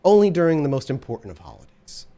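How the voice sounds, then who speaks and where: A man's voice: fairly high pitch, fast talker. A man doing a smiling, unflappable street preacher. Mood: polite, unruffled. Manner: calm, pleasant, unflappable.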